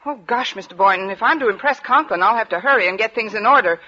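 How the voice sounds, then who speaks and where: A woman playing a wisecracking, lovelorn high school English teacher, dry and arch, in an old radio comedy. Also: lively intonation, fast, bright, forceful tone. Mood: wry, flustered. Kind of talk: 1940s-50s radio comedy acting. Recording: muffled hissy old radio recording.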